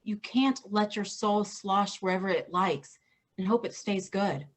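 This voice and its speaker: A woman's voice: medium pitch, a little slow, close to an everyday voice. A woman reading the part of a weary, earnest woman fighter in a staged play reading. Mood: reflective. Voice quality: earnest, reflective delivery.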